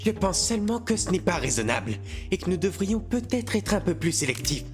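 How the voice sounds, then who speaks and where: A man playing an anxious, prim, conscientious demon assassin who protests earnestly in an animated comedy fan dub. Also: high-pitched, a little fast. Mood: worried protest. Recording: music behind the voice.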